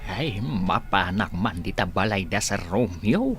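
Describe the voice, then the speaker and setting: A humble village man, acted out by a man with an Ilocano accent, low-voiced but swinging widely in pitch. He is eager, hesitant and deferential, in radio-drama style over faint noise. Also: lively intonation, a little slow, fairly bright tone, soft background music. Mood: flustered, earnest.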